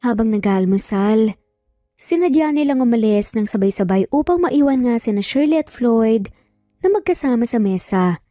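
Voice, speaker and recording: Filipino woman storyteller, high mellow voice. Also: expressive, normal speed, no echo, clean studio sound, soft background music. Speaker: story narrator. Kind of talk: scripted dramatic story narration. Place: dry close recording, music bed behind.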